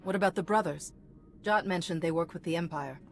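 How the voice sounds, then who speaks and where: A woman's voice: lowish voice, fairly quick, faint music behind, lightly acted. An actress playing a polite, composed female diplomat hero in a video game, speaking courteously.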